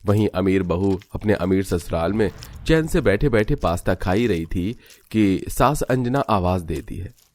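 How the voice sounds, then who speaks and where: A man's voice: sits low, animated, unhurried, a little mellow, a little hiss or hum. A man reading a scripted story as its narrator.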